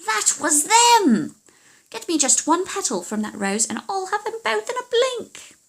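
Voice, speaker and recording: Shrill, furious old witch. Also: big pitch swings, unhurried, full character voice. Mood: angry, exasperated. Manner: scolding, imperious, insulting. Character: wicked, demanding old witch mother, furious and scheming.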